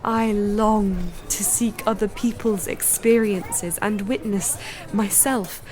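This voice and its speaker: A woman's voice: medium pitch, steady tone, takes it slowly, close to an everyday voice, poor audio. An actress playing a sheltered, determined young lady in a radio drama, with formal, wistful period diction. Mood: wistful, reflective. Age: young adult. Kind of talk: radio drama acting with diary narration.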